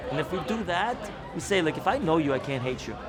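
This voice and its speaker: A man's voice: fairly high pitch, lively intonation, quick pace, crowd noise. An older man speaking earnestly about bringing communities together.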